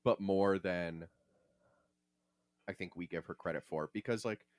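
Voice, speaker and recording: A man's voice: deep voice, measured pace, no room sound. A man hosting a podcast, giving casual, opinionated takes on fiction in an emphatic, salty-tongued way. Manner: emphatic, profane. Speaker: podcast host.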